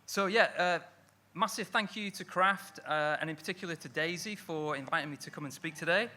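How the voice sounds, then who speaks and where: Bright-voiced Manchester man giving a talk. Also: fairly high pitch, brisk. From Manchester, England. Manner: friendly, self-deprecating, many fillers. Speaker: lettering artist giving a talk. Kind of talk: conference talk. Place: stage at an evening event.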